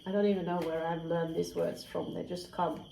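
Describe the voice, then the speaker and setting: A woman's voice: fairly low pitch, steady tone, normal speed, vocal fry, warm tone, slight background noise. A woman giving a casual tarot reading, candid and chatty, swearing freely and dropping into whispered asides. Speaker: tarot reader. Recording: roomy echo, faint crickets.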